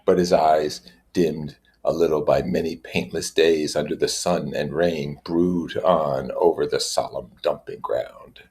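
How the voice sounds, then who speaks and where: A man reading literature aloud in a measured way, with casual explanatory asides. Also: a bass voice, steady tone, a little mellow. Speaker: reader and commentator.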